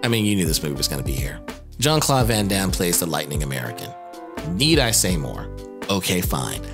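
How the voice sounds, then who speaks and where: A man hosts a scripted commentary in a fairly low voice. His pitch moves about in a lively way as he drops in wry, casual asides. He sounds like someone presenting an opinionated list video. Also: a little fast. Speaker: video host and narrator. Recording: music under the voice. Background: background music.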